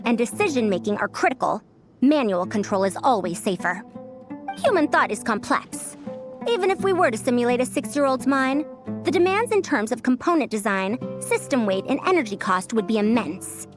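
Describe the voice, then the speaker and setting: Actress as young female mechanics scholar, high voice, animated intonation, articulate, friendly, video game dialogue. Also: moderate pace, over music, natural voice. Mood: thoughtful, analytical.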